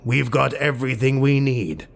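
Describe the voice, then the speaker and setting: A man voicing a proud, suspicious highborn lord in an audiobook, curt and commanding. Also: normal speed, vocal fry, lightly acted. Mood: menacing, commanding.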